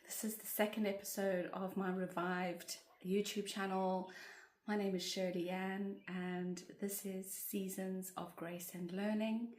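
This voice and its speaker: A woman talks to her channel in a slow, even, rather flat voice, wandering gently through her thoughts with plenty of ums. She has a cold and sounds croaky. The room around her rings with a noticeable echo. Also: mid-range voice. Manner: gentle, meandering, with many fillers. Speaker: vlogger. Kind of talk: casual chatty vlog to camera. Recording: roomy echoey room.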